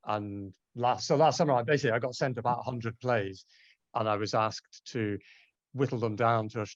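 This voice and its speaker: A man's voice: medium pitch, dry recording. Dry, dull-sounding man chatting on a panel. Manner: rambling, self-correcting. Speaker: translator on a panel. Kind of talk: casual anecdotal panel talk.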